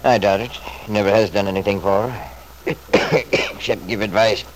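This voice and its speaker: A man's voice: lowish voice, fairly even intonation, moderate pace, natural voice. An actor playing a stubborn, selfish middle-aged father in an old-time radio soap, blustering and self-justifying in an emphatic way. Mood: confiding, dismissive. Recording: noisy vintage radio drama recording.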